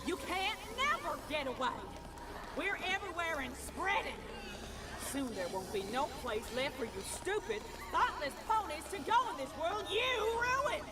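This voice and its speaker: A woman's voice: extremely high pitch, big pitch swings, moderate pace, distant mic, noisy background. A woman voicing a menacing corrupted country mare pony, gloating and folksy, in an audio drama. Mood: gloating menace.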